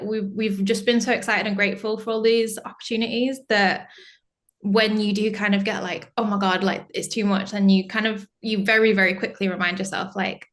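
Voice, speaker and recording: A young woman talks casually as a podcast guest, chatty and full of fillers, often breaking into laughter. Her voice sits at an ordinary middle pitch. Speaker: band singer, interview guest. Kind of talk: casual interview chat.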